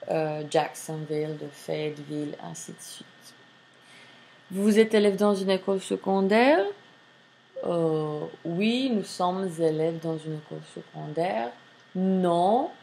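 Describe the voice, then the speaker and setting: A woman's voice: fairly low pitch, mellow. A woman French teacher, reading textbook lines aloud and explaining them in English during a screen-recorded lesson. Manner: reads French lines then translates, slow and deliberate.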